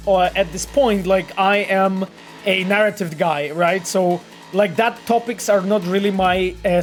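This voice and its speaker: A man with a Polish accent chatting casually on a live stream as he reads out viewer messages, rambling with lots of fillers and repeated words. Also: high-pitched. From Poland. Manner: animated and rambling, with frequent fillers, repeats and laughs. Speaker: game developer streaming and reading chat.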